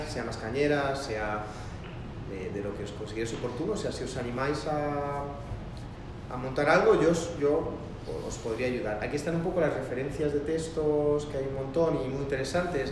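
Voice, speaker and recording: A man teaching a workshop in a loose, rambling lecture style, with a mixed Spanish and Argentine-sounding accent and many restarts. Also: mid-range voice. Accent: mixed, part Argentine-sounding Spanish. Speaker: workshop instructor. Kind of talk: classroom lecture. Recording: very echoey room with noise.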